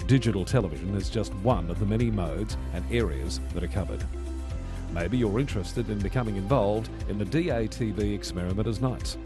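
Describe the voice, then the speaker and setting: Lowish male announcer over music. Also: a little fast. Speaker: announcer for an amateur radio club. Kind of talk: scripted promotional narration. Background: clear music bed.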